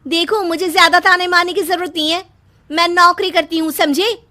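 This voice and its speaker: A woman voicing a haughty, educated young sister-in-law in a cartoon story, with a sharp, condescending scolding manner. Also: extremely high pitch, fairly even intonation, moderate pace, voice pushed up. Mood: angry, boastful.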